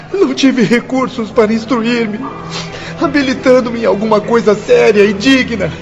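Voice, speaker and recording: A man's voice: very high-pitched, fairly even intonation, unhurried, creaky, heavily acted. A man playing a despairing young prisoner in a radio drama, lamenting in tearful, pleading anguish. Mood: bitter anguish. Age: young adult. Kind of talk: Brazilian radio drama acting. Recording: muffled radio drama with music. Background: dramatic music.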